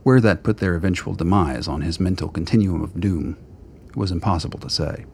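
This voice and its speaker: A man's voice: deep voice, brisk, creaky, darkish tone, slight background noise. A man narrating a radio play in a scripted, literary style.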